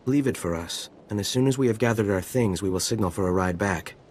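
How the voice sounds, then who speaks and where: An actor playing a principled, disapproving apprentice monk with grave, formal speech in a video game. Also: male voice, on the low side, fairly quick, a little mellow, slight background noise, lightly acted.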